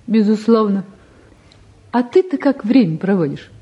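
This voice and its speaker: An actress playing a calm young woman in a naturalistic drama, composed and lightly ironic as she probes and teases. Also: mid-range voice, unhurried, natural voice. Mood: friendly, curious. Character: calm, gently teasing young woman photographer visiting. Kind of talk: naturalistic stage and radio drama acting.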